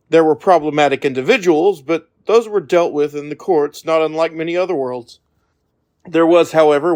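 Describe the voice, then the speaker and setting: A man reading scripted fantasy audiobook narration in a measured storytelling manner. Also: fairly high pitch.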